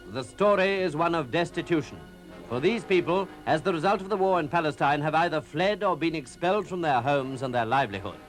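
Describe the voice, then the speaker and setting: Grave newsreel narrator, slightly high voice. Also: male voice, fairly bright tone. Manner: grave, formal. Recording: old newsreel with music behind.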